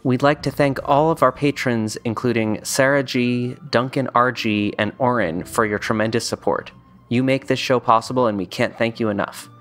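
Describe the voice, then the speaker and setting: A man with a mid-pitched voice delivers scripted podcast announcements. Light music plays behind him as he addresses listeners. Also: normal speed, a bit bright.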